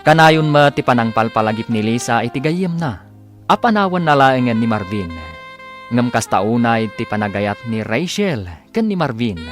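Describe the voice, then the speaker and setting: A man's voice: medium pitch, animated. Guy announcing radio soap, dramatic n drawn out, music behind. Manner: emphatic, drawn-out delivery. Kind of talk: scripted dramatic radio narration.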